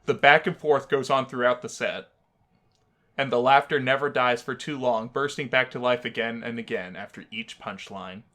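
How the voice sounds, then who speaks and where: A man narrating a visual novel aloud as a gaming host, with expressive, theatrical storytelling. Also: mid-range voice, moderate pace.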